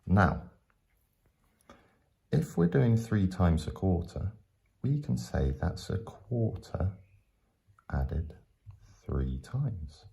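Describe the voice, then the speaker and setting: A man's voice: sits low, very animated, drawn-out words, gravelly, long pauses, dark tone. A man teaching maths step by step in a patient, explanatory way, going back over his points.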